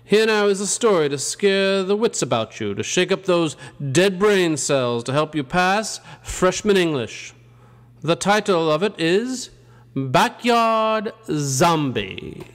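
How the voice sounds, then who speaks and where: A man playing a ghoulish, gleefully punning college dean who hosts a campy horror show with theatrical, drawn-out delivery. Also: high voice, animated. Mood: gleefully macabre.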